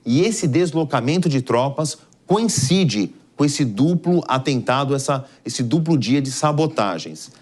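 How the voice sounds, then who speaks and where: Male news commentator, Brazilian accent, medium pitch, instructive delivery that stresses key points. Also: animated, measured pace, few pauses, a little mellow. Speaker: news analyst. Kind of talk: live explanatory news commentary.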